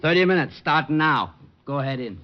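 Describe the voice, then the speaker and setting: An American man acting a slow-witted, plodding police sergeant in an old radio drama. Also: a little high, animated, natural voice. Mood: officious. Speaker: actor playing a police sergeant. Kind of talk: 1940s-50s radio detective drama acting. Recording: muffled, noisy old radio recording.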